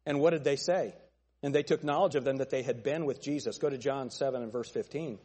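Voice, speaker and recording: A male pastor preaching a fiery sermon with a thin, nasal voice, pressing his points with forceful, repeated phrases. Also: medium pitch, fairly quick, long reverb tail. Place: church sanctuary.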